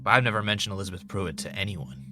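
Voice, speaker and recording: A man's voice: low-pitched, racing, close to an everyday voice. A man playing an anxious, sarcastic investigator in an audio drama. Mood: troubled, earnest.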